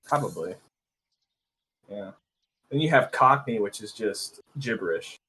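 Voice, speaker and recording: Slow-talking man with a Californian accent. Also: fairly low pitch, animated, sharp tone, slight background noise, poor audio. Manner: easygoing, laughs often. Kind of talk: casual chat on a livestream.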